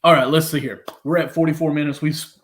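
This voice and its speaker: Joking host keeping the chat moving. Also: male voice, on the high side, steady tone, fast talker, a bit bright. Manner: joking, keeps the show moving. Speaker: podcast host. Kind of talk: casual sports chat.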